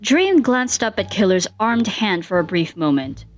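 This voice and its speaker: A woman narrates a fan fiction story in a dramatic style. Her voice sits at a middling pitch and moves in lively swings through the prose. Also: unhurried, soft background music.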